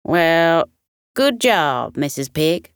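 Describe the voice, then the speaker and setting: A woman's voice: low voice, big pitch swings, drawn-out words, smooth, no room sound, studio quality, exaggerated voice. A woman voicing a polite, hesitant male animal diner in a children's bedtime story. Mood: polite, wary.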